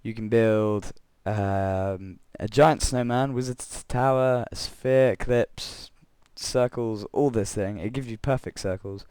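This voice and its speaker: A young man with a croaky voice from being unwell, rambling through gameplay commentary and a story, correcting himself often with chuckling asides. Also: on the low side, slow, few pauses, darkish tone, dry recording. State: croaky voice from illness. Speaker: Minecraft let's player.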